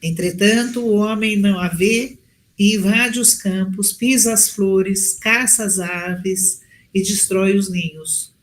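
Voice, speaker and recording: A man reads a prayer earnestly. His voice is notably high and his pace is slow. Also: fairly even intonation. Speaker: prayer reader. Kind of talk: reading a prayer aloud.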